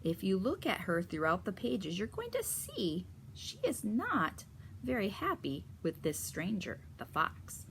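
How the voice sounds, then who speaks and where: A woman reads a picture book to children with a slow, deliberate pace and very lively intonation. She sounds teacherly, stopping to explain and ask questions. Her voice is bright. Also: medium pitch, a little hiss or hum. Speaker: story reader for children. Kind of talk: expressive picture-book read-aloud with explanations.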